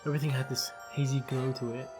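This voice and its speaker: A man's voice: mid-range voice, little pitch movement, fast. A man narrating a scripted fantasy story podcast, with conversational asides. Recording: background music under voice.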